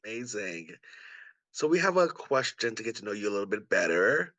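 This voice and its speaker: Bright-voiced, enthusiastic male co-host. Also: on the low side, sing-song, fairly quick, no room sound. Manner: enthusiastic, welcoming. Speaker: co-host, artistic producer. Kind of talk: upbeat event hosting, introductions and questions. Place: indoors on a video call.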